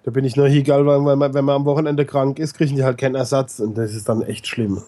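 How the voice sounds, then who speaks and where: A man's voice: medium pitch, little pitch movement, fast, dark tone. A man who has a cold, chatting casually and teasing his co-host on a radio show. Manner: blunt, teasing, joking banter. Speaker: co-host, nurse.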